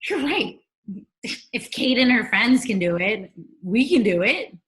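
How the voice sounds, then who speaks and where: A woman playing a sarcastic, excitable middle school best friend in a comedic table read, wry and emphatic. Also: fairly high pitch, measured pace. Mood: puzzled.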